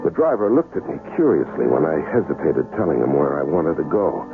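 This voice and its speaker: A man's voice: a bass voice, sing-song, fairly quick, full character voice. An American actor playing an amnesiac traveler, narrating and speaking his adventure in a wry, hesitant way for a radio drama. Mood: wary recounting. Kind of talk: first-person radio drama narration and dialogue. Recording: muffled vintage radio broadcast, music behind.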